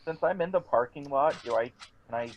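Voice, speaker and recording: A man's voice: medium pitch, brisk, a little hiss or hum. A man playing a tabletop game over voice chat, talking casually and swearing freely. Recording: dull lo-fi online voice chat.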